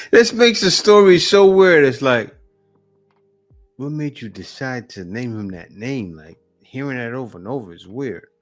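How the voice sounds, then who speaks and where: A man's voice: mid-range voice, huge pitch swings, a little slow, long pauses, soft background music. A man hosting a reaction video, giving blunt, mocking, teasing commentary in a casual way.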